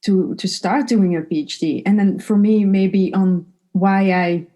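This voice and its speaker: Mellow-voiced woman from Belgium. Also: mid-range voice, steady tone, a little slow. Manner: thoughtful, reflective, with fillers. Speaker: PhD graduate. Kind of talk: panel talk.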